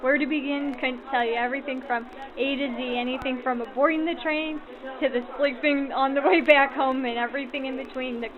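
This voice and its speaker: A woman's voice: sits high, steady tone, a little fast. An effusive woman, a volunteer crew member, answering interview questions through a vintage radio filter. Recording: tinny radio-like sound, crowd behind.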